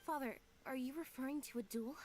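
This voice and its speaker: Game role: anxious young woman actress, high light pitch, loyal and uneasy. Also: fairly quick, a little mellow, a little hiss or hum, natural voice. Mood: alarmed. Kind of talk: video game voice acting.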